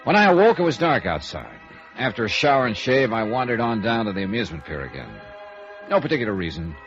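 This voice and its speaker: A wry private investigator in vintage radio noir. The mid-pitched delivery is clipped and probing, rising and falling freely. The recording is dull and old, with music in the broadcast. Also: male voice, very animated, quick pace, fairly bright tone. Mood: dry, matter-of-fact. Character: hard-boiled, wry male freelance insurance investigator. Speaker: radio drama lead actor, narrator. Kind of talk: 1950s radio noir acting, first-person narration.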